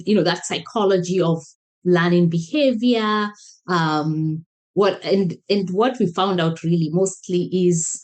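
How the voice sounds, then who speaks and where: Kenyan-accented woman, interview guest, enthusiastic, halting restarts. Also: medium pitch. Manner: warm, enthusiastic, often restarts phrases. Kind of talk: casual interview chat.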